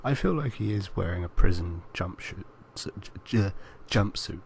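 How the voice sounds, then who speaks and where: A man's voice: low-pitched, animated, normal speed, vocal fry, breathy edge. A man co-hosting a casual film podcast, speaking hesitantly and stumbling over his words at times. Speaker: podcast co-host. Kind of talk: casual banter about films.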